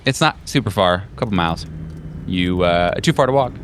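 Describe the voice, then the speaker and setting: Low-pitched man casually running a tabletop game. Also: lively intonation, fairly quick, a little hiss or hum. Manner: casual, profane, conversational. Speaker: game master. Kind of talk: improvised tabletop game narration.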